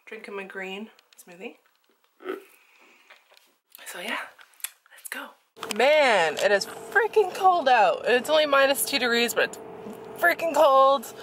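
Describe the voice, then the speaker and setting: A woman's voice: high-pitched, lively intonation, takes it slowly, long pauses, a bit bright, slight background noise. A woman, chatting casually and giggly to a handheld vlog camera. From Newfoundland, Canada. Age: 20s. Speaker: vlogger. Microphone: camera held at arm's length. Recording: handheld camera audio with music.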